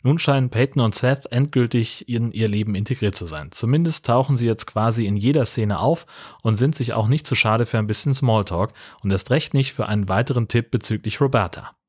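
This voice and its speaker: A man's voice: mid-range voice, fairly quick, slightly rough. A man hosting a podcast, narrating a scripted comic film recap in a wry, colloquial way. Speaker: podcast host.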